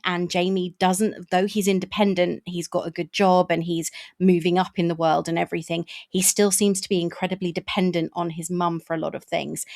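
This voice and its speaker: A woman's voice: fairly low pitch, creaky. A woman in her fifties hosting a book podcast, frank and conversational, with restarts. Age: 50s. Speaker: podcast host. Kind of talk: casual interview chat.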